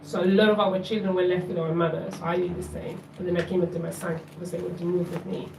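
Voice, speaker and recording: Bolivian-born woman, lowish voice, earnest audience contributor, recorded at a distance in a roomy hall. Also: level tone, fast talker, noisy background. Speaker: audience member. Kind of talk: open panel discussion. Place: community event room. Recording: echoey room, distant mic.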